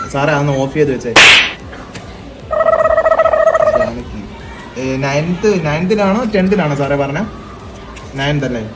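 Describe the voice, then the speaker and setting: Man posing as an officious higher-voiced helpline officer. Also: lightly acted, rough recording. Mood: probing, hesitant. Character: officious male child helpline officer investigating a complaint. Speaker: prank caller. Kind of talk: prank phone call posing as official questioning. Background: loud music.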